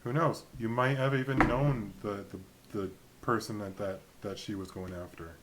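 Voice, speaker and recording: A man's voice: lowish voice, steady tone, normal speed, slight background noise, low-quality recording. A man casually recapping a tabletop game as a co-host, in an informal, matter-of-fact way. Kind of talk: casual chat and recap narration.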